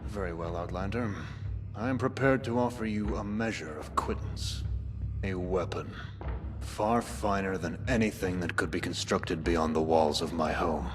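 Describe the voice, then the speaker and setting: A man playing a cold, domineering zealot keeper in a video game, speaking in a formal, measured, menacing manner. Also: deep voice, lightly acted. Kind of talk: dramatic video game dialogue. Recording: long reverb, loud music behind.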